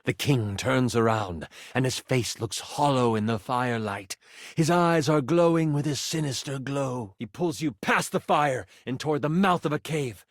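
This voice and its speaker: A man's voice: medium pitch, moderate pace, no echo, studio quality, close to an everyday voice. A man playing a game master, narrating fantasy battles in a theatrical, suspenseful storytelling style. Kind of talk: dramatic tabletop fantasy narration.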